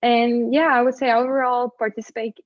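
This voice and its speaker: A young Latvian woman whose first language is Latvian, answering in a measured, slightly rehearsed way. Her voice sits a touch high. The recording is dry and a bit dull. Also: little pitch movement, dry recording. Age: young adult. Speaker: international student athlete. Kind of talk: interview answers.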